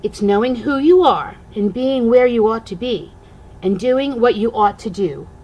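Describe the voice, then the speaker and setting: Older woman reading a storybook, higher-pitched voice. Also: unhurried, a little mellow, husky, low-quality recording. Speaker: grandmother reading aloud. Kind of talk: children's storybook read-aloud.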